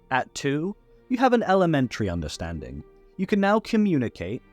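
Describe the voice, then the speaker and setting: A man hosting a tutorial, reading scripted explainer narration in a structured teaching manner with light playful asides. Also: mid-range voice. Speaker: tutorial host. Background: background music.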